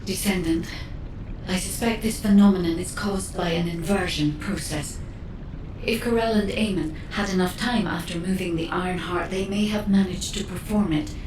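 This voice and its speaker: Woman doing thoughtful otherworldly spirit guide lady, formal n earnest, echoey and a bit far off, rumble behind. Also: steady tone, moderate pace, natural voice. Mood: pained, grave. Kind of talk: video game cutscene acting. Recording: roomy reverb, distant, background rumble.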